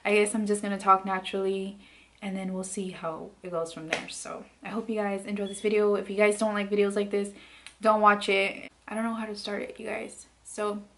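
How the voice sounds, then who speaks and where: A woman in her late twenties speaks straight to the camera from her bedroom, in a soft, hesitant vlog voice full of fillers. Her pitch sits mid-range and barely moves. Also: little pitch movement, brisk, slight background noise. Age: late 20s. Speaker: beauty vlogger. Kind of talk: candid personal vlog. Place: bedroom, indoors.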